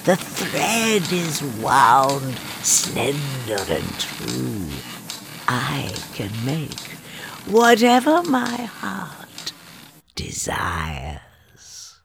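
A woman's voice: rumbling low, huge pitch swings, extremely slow, creaky, background noise, heavily acted. An actress playing a wicked fairy disguised as a frail old woman, coaxing and sly with sinister whispers in an audio drama.

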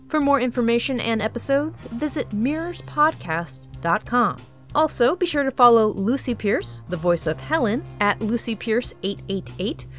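Female radio host, slightly high voice, scripted announcer delivery over background music.

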